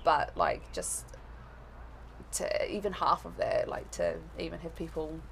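A woman with a New Zealand accent, chatting casually as an interview guest, rambling with lots of fillers and quick laughs. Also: sits low, very animated, creaky, fairly bright tone, a little hiss or hum, rough recording.

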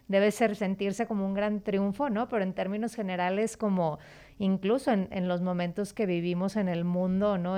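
A woman hosts a radio program and reflects out loud, often checking in with quick tag questions. Her voice is smooth and clean, at a middle pitch. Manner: thinks aloud, many tag questions and restarts. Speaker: radio host. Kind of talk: casual radio talk.

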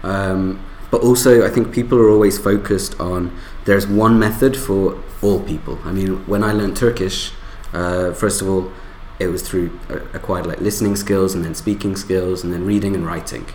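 A man speaking as a guest on a panel, thoughtful and measured. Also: low-pitched, steady tone, a little mellow, slight background noise. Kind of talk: casual panel discussion. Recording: echoey room.

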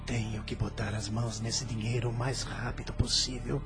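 An actor playing a greedy, scheming son in a radio drama, controlled on the surface and bitter underneath. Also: male voice, medium pitch, level tone, moderate pace, music in the background, close to an everyday voice. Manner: polite to others, bitter and scheming alone.

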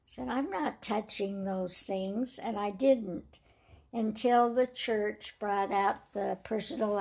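An older woman speaks with a raspy, gravelly voice. She tells her story in a slow, measured way, letting phrases trail off softly. She sounds like she is being interviewed about her life. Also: mid-range voice.

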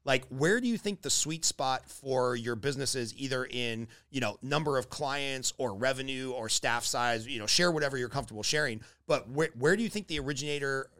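A man's voice: medium pitch, fairly quick, few pauses, fairly bright tone. A man interviewing in a casual, informal way, with rambling, salty, conversational questions.

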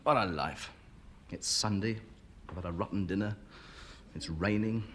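A man's voice: deep voice, normal speed, fairly bright tone. A man in a 1950s radio comedy, complaining in a glum, weary tone. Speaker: comedy actor in archive radio clip. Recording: noisy old radio recording.

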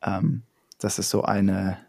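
A man's voice: sits low, slow, gravelly, a little mellow, husky. A young man in casual chat, explaining ideas step by step with frequent fillers and restarts.

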